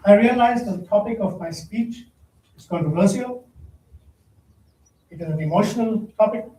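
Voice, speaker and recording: A man giving a keynote lecture in a big, loud voice, speaking directly to the audience with joking asides. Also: high voice, moderate pace. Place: large conference hall. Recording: echoey hall, distant mic, faint noise.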